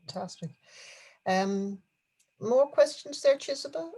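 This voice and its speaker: A host leading a career conversation, speaking in a halting way with many fillers. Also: mid-range voice, animated, dark tone. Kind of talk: hosting a career talk.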